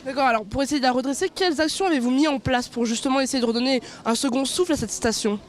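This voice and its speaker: A woman's voice: high-pitched, fast talker. A woman interviewing locals as a courteous regional TV reporter, asking questions and recapping answers.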